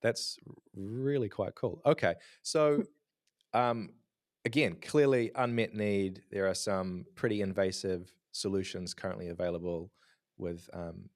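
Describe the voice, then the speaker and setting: Deep-voiced man hosting a podcast. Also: a little slow. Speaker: podcast host. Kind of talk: podcast interview.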